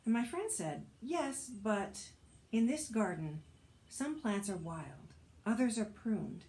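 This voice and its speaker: A woman reading a personal essay aloud as a narrator, with a wry, measured storytelling delivery. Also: on the high side, animated, a little mellow. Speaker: audiobook narrator, actor and author reading an essay.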